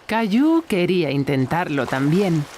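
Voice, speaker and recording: A woman's voice: on the low side, very animated, measured pace, noisy background. A woman narrating a scripted children's story for a cartoon.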